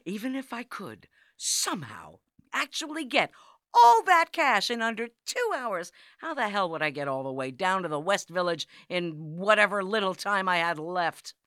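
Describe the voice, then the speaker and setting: A woman's voice: mid-range voice, sing-song, normal speed, a bit bright, no echo, studio quality. A woman playing an exasperated, argumentative New York wife in an audio comedy, speaking with sarcastic, indignant emphasis. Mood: exasperated, lecturing. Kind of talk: comedic audio drama acting.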